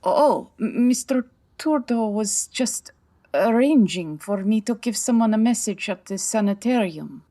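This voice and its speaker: A woman playing a proud, wounded middle-aged Turkish bathhouse owner in an audio drama, measured and dramatic. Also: a little high, expressive, mellow. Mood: uneasy, guarded.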